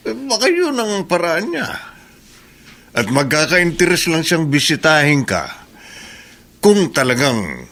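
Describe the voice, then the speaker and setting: A man's voice: on the high side, wildly swooping pitch, takes it slowly, long pauses, a bit bright, full character voice. An actor playing a wise, fatherly older man who gives consoling advice in a radio drama. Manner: advising, colloquial. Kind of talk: Tagalog radio drama acting.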